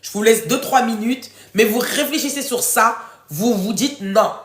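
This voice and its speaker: High-voiced congested woman coaching in an echoey room. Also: measured pace. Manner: informal, affectionate address to listeners, encouraging. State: congested, runny nose from a cold. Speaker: live workshop host, life coach. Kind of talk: casual interactive coaching livestream, reading out participants' answers. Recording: roomy, echoing room sound.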